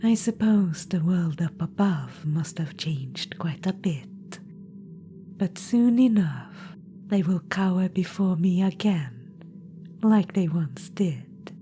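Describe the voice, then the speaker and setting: Woman playing an undead witch-queen spirit, soft whispers, condescending, unhurried, mellow low voice. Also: animated. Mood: whispered, scheming. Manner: purring, patronizing, calls listener darling. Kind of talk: ASMR audio roleplay.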